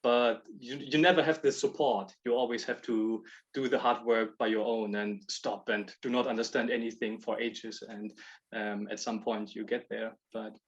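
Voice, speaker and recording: A man presenting his project on a video call, talking in halting bursts with frequent restarts and laughs between points. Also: lowish voice, steady tone. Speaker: project proposer. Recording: dull lo-fi call audio.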